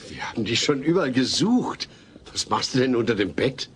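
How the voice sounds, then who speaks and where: A middle-aged sitcom dad played by a man explains things earnestly in a mid-pitched, animated voice. He sounds patient and a little worried, with faint tape noise around him. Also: a little fast, natural voice. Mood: puzzled. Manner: explanatory, reassuring. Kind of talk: dubbed sitcom audio play. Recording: noisy old cassette audio play.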